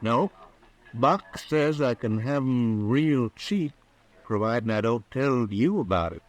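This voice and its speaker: An actor playing an honest, hesitant frontier cattle buyer with a folksy American Western accent in a radio drama. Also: male voice, mid-range voice, expressive. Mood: earnest, confiding. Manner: folksy, halting, deferential. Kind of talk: old-time Western radio drama acting. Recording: vintage radio broadcast recording.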